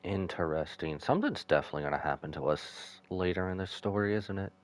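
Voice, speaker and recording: A man's voice: low voice, quick pace. A man giving casual gaming commentary, musing aloud and reading dialogue between his asides. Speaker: game streamer.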